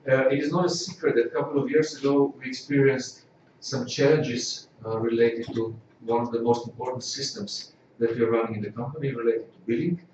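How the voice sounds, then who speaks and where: A man from Slovenia giving a corporate results presentation, measured and full of filler "uh"s. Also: medium pitch, steady tone, darkish tone. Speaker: company executive presenting results. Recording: echoey hall, distant dull mic, faint noise.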